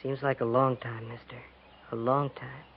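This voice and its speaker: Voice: medium pitch, little pitch movement, normal speed, husky. An actor playing a frightened, dazed frontier boy in a 1950s radio western, polite and guarded, with hesitant rustic speech. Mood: fearful. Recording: muffled, noisy 1950s radio recording.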